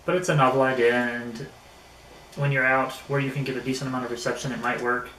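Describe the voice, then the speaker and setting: A man giving a casual hands-on product review, speaking in a halting way with pauses mid-phrase. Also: medium pitch, steady tone, bright, forceful tone. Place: indoors in an echoey room. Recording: roomy echo, faint background noise.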